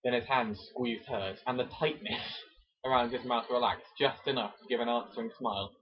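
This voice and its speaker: A man with a husky voice doing an amateur mock-dramatic reading of a romance novel, with chatty asides. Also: mid-range voice, fairly even intonation, normal speed. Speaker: amateur narrator. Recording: dull lo-fi home recording.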